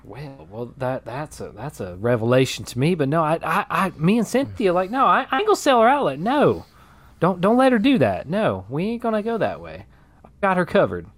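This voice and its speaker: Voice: medium pitch, sing-song, a little fast, dry recording. A performer playing a nervous young woman suspect who stammers, drawls and gets defensive under questioning. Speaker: interviewee.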